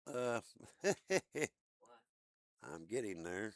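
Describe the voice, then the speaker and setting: A man with a deep, low voice chats unhurriedly with his viewers while streaming. His talk is relaxed and drawn out, with casual asides to the audience. The sound is dry and close, with no room around it. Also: very slow, dry recording. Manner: laid-back, chatty asides to viewers. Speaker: game streamer. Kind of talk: casual live-stream chat.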